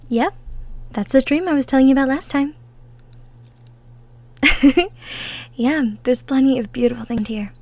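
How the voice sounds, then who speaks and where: High-pitched, sweet young woodland woman, played by an actress, gentle and cheerful, folksy, for an intimate roleplay audio. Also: measured pace, pauses often. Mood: friendly, curious. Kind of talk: roleplay audio drama, intimate one-sided conversation.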